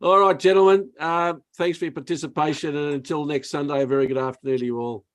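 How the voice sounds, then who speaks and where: A man hosting a sports radio show, briskly wrapping up and reading out racing tips. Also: a little high, fairly even intonation. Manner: brisk, reads tips aloud. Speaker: radio host. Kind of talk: radio show host wrap-up.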